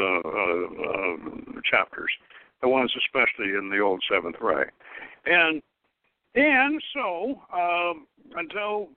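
A man's voice: medium pitch, no echo. Older male talk-show host, phone-quality sound, rambling and hesitant, word repetitions, solo unscripted delivery. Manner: halting, rambling, repeats words and stalls often. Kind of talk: unscripted solo talk, recapping a story and announcing next episode. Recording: thin phone-line audio.

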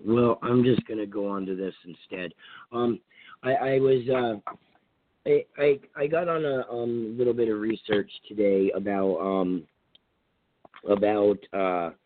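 A hoarse man with a cold from Vermont, hosting a call-in radio talk show in a rambling, casual way with many fillers and restarts. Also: lowish voice, fairly even intonation, measured pace. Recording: tinny phone-line sound.

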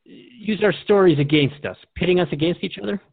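A man's voice: high-pitched, fairly quick, creaky, slightly rough, dry recording, phone-quality sound. A man hosting a radio dialogue, speaking in an earnest, reflective and questioning way, like an interviewer in a scripted exchange. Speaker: radio host.

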